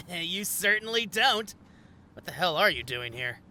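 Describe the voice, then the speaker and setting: Sharp, snippy male office manager played by an actor. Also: sits high, very animated, unhurried, slight background noise, heavily acted. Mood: snide. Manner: indignant, haughty, clipped. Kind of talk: comedy audio drama acting.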